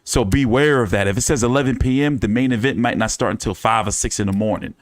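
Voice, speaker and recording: A man's voice: medium pitch, quick pace, dry recording, clean studio sound. A man hosting a boxing news channel in casual fan chat, talking loosely with cursing and repeating his phrases.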